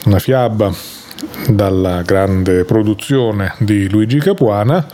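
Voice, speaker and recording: A man hosting a radio program, introducing the next segment in a deliberate, announcing way. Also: fairly low pitch, dark tone, slight background noise. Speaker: radio host.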